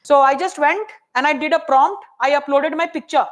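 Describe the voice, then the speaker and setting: A soft-spoken woman with an Indian English accent, teaching a hands-on AI workshop and checking in with the room often. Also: high voice, moderate pace. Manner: chatty, checks in often with "right?" and "okay?". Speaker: AI workshop presenter.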